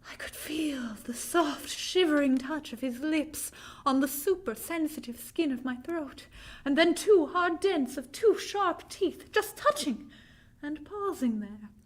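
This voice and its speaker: A woman's voice: high voice, animated, measured pace. An actress playing a frightened young Victorian woman, telling her tale dramatically in a vintage radio drama. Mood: entranced dread.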